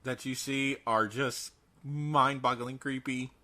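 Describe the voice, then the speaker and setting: A man's voice: mid-range voice, steady tone, slow, sharp tone. A man co-hosting a podcast, chatting casually through horror news in a theatrical, jokey and emphatic way. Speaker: podcast co-host.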